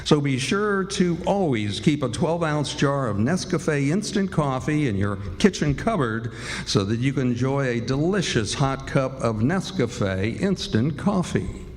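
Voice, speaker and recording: A man's voice: medium pitch, very animated, a little slow, slight background noise. A man announcing a live old-time radio show, reading scripted introductions and commercials. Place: live stage in a hall. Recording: reverberant hall, music behind.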